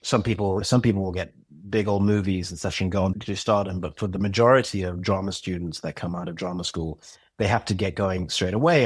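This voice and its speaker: A man with a somewhat low voice answers interview questions in a reflective, unhurried way, often restarting a thought as he works it out aloud. The sound is dry and close. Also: slightly rough, no room sound. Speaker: podcast guest, audio drama creator. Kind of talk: casual conversational interview.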